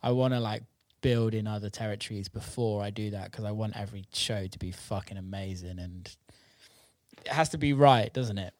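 A man's voice: on the low side, normal speed, darkish tone, dry recording. Sweary, informal man in a dry recording. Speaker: music producer and interviewer. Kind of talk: casual chat.

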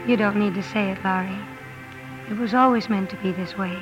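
A woman's voice: medium pitch, steady tone, fairly quick, dull audio, lightly acted. An actress playing a sincere, passionate young woman writer in a 1950s radio drama, earnest and emotional. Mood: calm, resigned. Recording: old radio broadcast with music.

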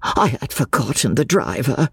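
A woman voicing a fussy, apologetic older spinster who talks in a fluttery, over-polite way, with a husky voice. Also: a bass voice, sing-song, moderate pace, no room sound, studio quality, exaggerated voice. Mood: flustered.

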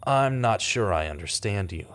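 A man's voice: lowish voice, animated, normal speed. A man playing an earnest investigator in a horror audio drama, with grave, dramatic delivery as he hunts a killer. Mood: guarded. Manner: grave, dramatic inner narration. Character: earnest male investigator hunting a killer in monster-haunted woods. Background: loud music.

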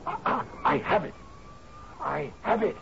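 A man's voice: sits high, animated, unhurried, creaky, exaggerated voice. An actor in a radio drama playing an expansive, dreamy sea captain in his fifties, musing theatrically with questioning little grunts. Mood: excited, resolute. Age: 50s. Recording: dull, noisy 1970s radio recording.

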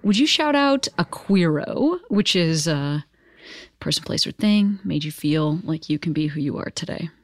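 A woman hosting a podcast, talking in a warm, effusive way with fillers. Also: deep voice, animated, normal speed, no echo, studio quality. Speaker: podcast host. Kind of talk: casual interview chat.

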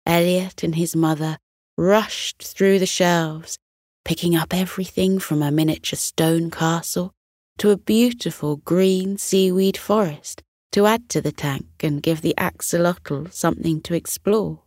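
Woman reading a kids bedtime story, soothing, really unhurried, lowish voice, dry close sound. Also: a little mellow, no room sound. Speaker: children's bedtime story narrator. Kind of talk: soothing scripted storytelling narration.

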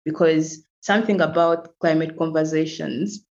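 A woman's voice: deep voice, fairly even intonation, moderate pace, darkish tone, lo-fi sound. A young woman from Uganda, a guest climate activist, explaining her work in an earnest, casual interview.

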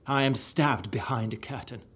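An actor playing a pompous, fretful Shakespearean courtier with florid declamation in a comedic audio drama. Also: male voice, medium pitch, normal speed, fairly bright tone, slightly rough. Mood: wary.

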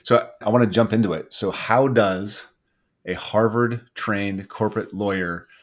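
A man's voice: on the low side, fairly even intonation, a little slow. A man hosting a podcast, asking questions in an easy, friendly way. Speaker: podcast host. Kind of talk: podcast interview.

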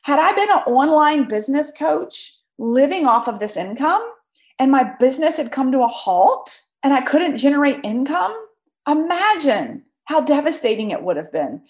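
A woman's voice: high-pitched, big pitch swings, normal speed, echoey room. A woman hosting a podcast, talking emphatically straight to her listeners while her voice is scratchy from a cold. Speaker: podcast host. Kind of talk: solo podcast talk, advice.

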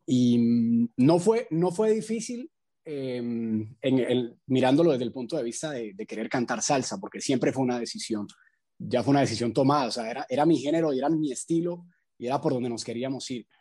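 Guy in his 20s, soft dark tone, giving thoughtful interview answers. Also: medium pitch, fairly quick, slightly rough. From Colombia. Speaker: salsa singer, interview guest.

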